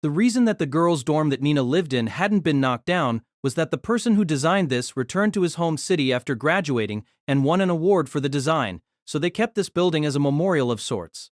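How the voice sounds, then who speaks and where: Animated male narrator, dry close sound. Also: a little high, brisk, few pauses, no room sound. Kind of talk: scripted novel narration.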